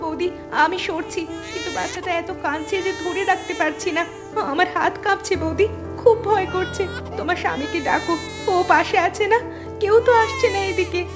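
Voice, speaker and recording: A woman's voice: extremely high pitch, a little fast, music in the background. A woman playing a young wife and mother in a cartoon melodrama, anxious, hurt and pleading. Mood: frightened. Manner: emotional, pleading.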